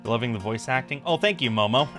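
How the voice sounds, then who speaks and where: Guy streamer reading a visual novel out loud, lively voice, bit of guitar music behind. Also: mid-range voice, brisk. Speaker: game streamer. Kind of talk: reading visual novel aloud, dramatic narration with chat asides. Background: game music with guitar.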